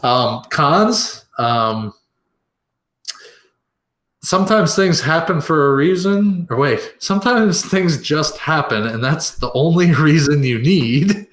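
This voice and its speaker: A man's voice: on the high side, expressive, slow, fairly bright tone. A man co-hosting a casual film review podcast, rambling with plenty of fillers as he works through his notes. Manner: rambling, many fillers and restarts. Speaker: podcast co-host.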